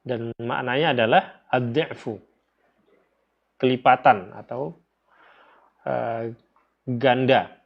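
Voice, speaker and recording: A man's voice: medium pitch, steady tone, slow, creaky, pauses often. A man with an Indonesian accent teaching in an informal lecture style, explaining step by step, repeating phrases and posing questions to his listeners. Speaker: religious teacher. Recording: dull, lo-fi audio.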